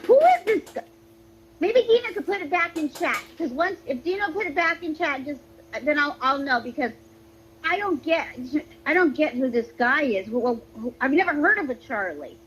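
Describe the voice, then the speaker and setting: A woman's voice: sits high, lively intonation, normal speed. A woman chatting and arguing on a livestream call, hesitant and rambling, over a poor phone connection. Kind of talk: casual argumentative livestream chat. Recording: muffled, noisy, breaking-up phone connection.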